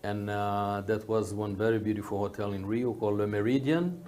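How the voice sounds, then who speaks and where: Low-voiced man, halting in thought. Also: darkish tone. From Brazil, grew up in Rio. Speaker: interview guest, hotel executive. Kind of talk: conversational interview answers.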